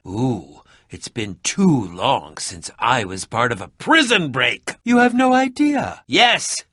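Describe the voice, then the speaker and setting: A man's voice: medium pitch, sharp tone, no echo, studio quality, full character voice. An actor playing an eccentric, prickly male adventurer in a video game, with a pompous, melodramatic and sardonic manner. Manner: theatrical, pompous, sardonic, formal wording. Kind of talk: animated video game voice acting.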